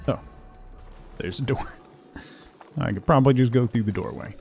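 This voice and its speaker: A man's voice: mid-range voice, moderate pace, vocal fry, mellow, a little hiss or hum. A man giving casual solo commentary as a game streamer, musing and thinking aloud to himself.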